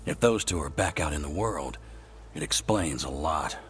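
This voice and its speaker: An actor playing a blunt, sardonic veteran expert in ancient magic in a video game cutscene. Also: male voice, a bass voice, expressive, moderate pace, fairly bright tone, faint music behind. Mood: gruff.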